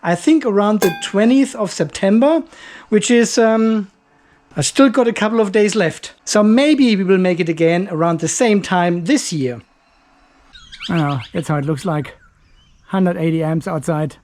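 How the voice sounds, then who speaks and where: A man's voice: high voice, measured pace, a little mellow. Man reviewing gear in an echoey room. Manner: informal, thinking aloud while handling the product. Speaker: video host, product reviewer. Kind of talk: casual unboxing and review commentary. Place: indoor workshop or garage. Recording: roomy, echoing indoor sound.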